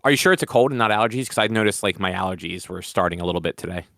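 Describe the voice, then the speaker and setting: Podcast host guy, low-ish voice, dry close sound, teasing and opinionated. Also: racing, vocal fry, fairly bright tone, no echo. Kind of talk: casual chat.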